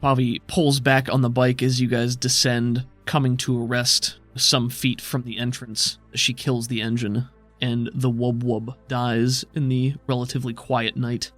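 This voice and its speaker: A man narrating a tabletop game as game master in a measured, descriptive way. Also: mid-range voice, flat delivery, a bit bright, soft background music.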